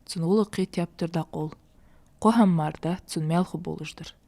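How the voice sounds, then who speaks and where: A woman voicing a kindly, patient grandmother in a cartoon dub, speaking in a measured, instructive way. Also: lowish voice, vocal fry, warm tone. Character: kindly, patient grandmother who teaches children.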